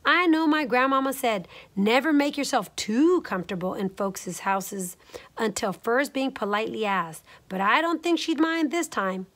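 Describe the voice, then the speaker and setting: A woman's voice: on the high side, animated, moderate pace, fairly bright tone. A woman voicing a polite, frightened little lost girl in a storybook, earnest and pleading. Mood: sheepish.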